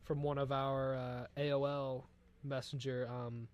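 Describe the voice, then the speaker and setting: A man's voice: mid-range voice, flat delivery, a little slow, highs cut off. A man co-hosting a radio show in casual, informal chat. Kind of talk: casual chat, relaying listener requests.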